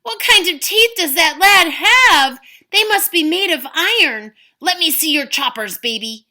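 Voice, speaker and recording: A woman's voice: very high-pitched, lively intonation, measured pace, bright, forceful tone, voice pushed up, exaggerated voice. A woman voicing a huge, boastful, gluttonous male giant who bellows and blusters. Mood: blustering.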